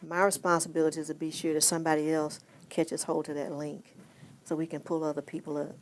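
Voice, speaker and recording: A woman's voice: deep voice, little pitch movement, quick pace. A woman giving an earnest documentary interview, speaking emphatically and repeating key phrases for weight.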